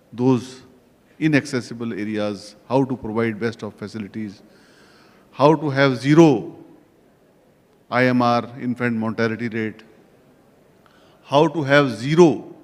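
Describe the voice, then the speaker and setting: A man chairing a conference session, speaking slowly and deliberately, listing points with pauses. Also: mid-range voice. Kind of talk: formal conference address. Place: large conference hall. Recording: reverberant conference hall.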